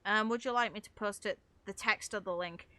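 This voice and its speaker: A woman's voice: medium pitch, a little fast, bright, forceful tone, dry recording. A woman chatting casually and bluntly on a live game stream, with some swearing. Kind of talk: casual live-stream chat while gaming. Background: video game music.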